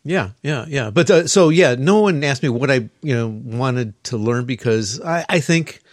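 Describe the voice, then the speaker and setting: A man's voice: medium pitch, lively intonation, normal speed, no echo. Chatty male podcast host, dry sound. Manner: rambling, many fillers and restarts. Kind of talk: casual podcast conversation.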